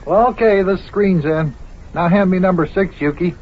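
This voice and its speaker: A man's voice: sits high, moderate pace. An actor playing a good-natured, patient man of the house in an old-time radio show, speaking plainly and mildly. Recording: noisy, dull old radio recording.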